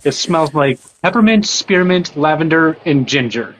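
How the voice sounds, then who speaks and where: A man hosting a casual livestream and screening callers with quick set questions. He sounds nauseous after recent surgery. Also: fairly high pitch, expressive, crowd noise, low-quality recording. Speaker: livestream host. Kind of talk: casual live-stream chat with callers.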